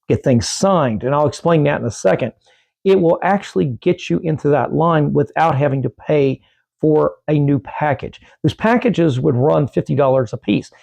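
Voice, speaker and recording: A middle-aged man chats casually in a collector's vlog, wandering off topic and circling back. His voice is gravelly, dark and worn from losing it, recorded close and dry with no room sound. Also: on the high side, no room sound. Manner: rambling, self-correcting, friendly sign-offs. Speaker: comic book collecting YouTuber. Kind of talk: casual vlog talk to camera.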